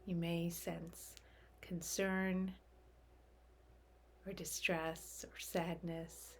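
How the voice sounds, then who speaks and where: A woman guides a meditation in a gently low, soft voice. She speaks very slowly and calmly, leaving long pauses between short phrases. A faint layer of background noise sits behind her.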